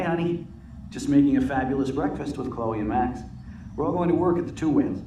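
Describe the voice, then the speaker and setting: A man playing a warm, teasing middle-aged suburban dad who jokes in a mock-theatrical way. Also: a little high, natural voice. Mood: cheerful. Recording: noisy, roomy, distant game audio.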